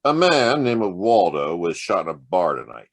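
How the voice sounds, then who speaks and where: A man's voice: low-pitched, big pitch swings, normal speed, full character voice. An American man playing a wry, sardonic hard-boiled private detective in a noir audio drama. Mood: sardonic, cool. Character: hard-boiled, wisecracking male private detective, narrating his case.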